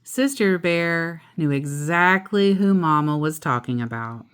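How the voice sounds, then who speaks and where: A woman reading a children's picture book aloud in an expressive, animated way, acting out the characters. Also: low-pitched, a little slow, clear, resonant tone. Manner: animated, acts out character voices.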